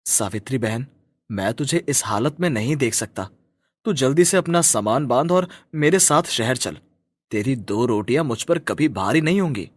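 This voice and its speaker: Casting: kind-hearted family man played by a male actor, mid-pitched, insistent, highly expressive, moral storybook drama. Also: moderate pace, no echo, studio quality, lightly acted. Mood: insistent, pleading. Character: kind-hearted working husband, caring brotherly family friend.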